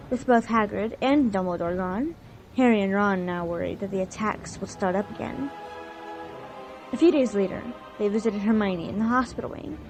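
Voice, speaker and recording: A woman's voice: medium pitch, a little mellow, slight background noise, rough recording. A woman narrating a scripted recap of an audio drama story. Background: faint music.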